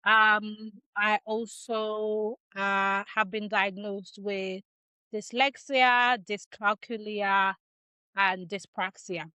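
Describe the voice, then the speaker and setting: Woman in her thirties, from Nigeria, slow unhurried delivery, crisp bright tone, reflective, video call. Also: mid-range voice, little pitch movement, no room sound, poor audio. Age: 30s. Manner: measured, reflective, with frequent ums and restarts. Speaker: podcast guest. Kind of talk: casual interview chat.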